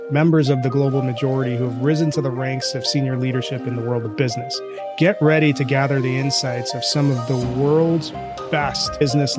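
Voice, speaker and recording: A man hosting a business podcast in an upbeat, jokey way, calling himself a nerd. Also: mid-range voice. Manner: enthusiastic, jokey, self-described nerd. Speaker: podcast host.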